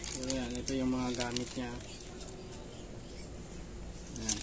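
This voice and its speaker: A woman's voice: extremely low pitch, little pitch movement, slow pace. A Filipino woman chatting casually to viewers as she unboxes a parcel on camera. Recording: very noisy amateur recording with outdoor ambience.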